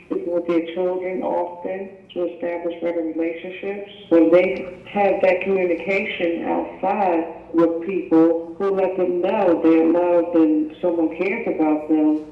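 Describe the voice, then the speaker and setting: A man with a high voice reads out a phone comment in a flat tone. The recording is dull and distant, with strong room echo. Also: a little slow, few pauses. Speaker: caller to a comment line. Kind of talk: prepared phone message statement. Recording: muffled phone message replayed in echoey room.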